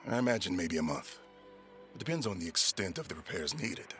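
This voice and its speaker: A man playing a loyal, soft-hearted pirate quartermaster in an audio drama, persuasive and respectfully teasing. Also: sits low, big pitch swings, normal speed, soft background music. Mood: agreeable, practical. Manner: persuasive, deferential yet teasing.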